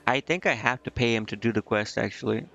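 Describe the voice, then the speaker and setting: A man's voice: medium pitch, fast talker, creaky, bright, forceful tone, muffled recording. A man giving casual, off-the-cuff gameplay commentary as a streamer, slangy and irreverent. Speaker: video game streamer.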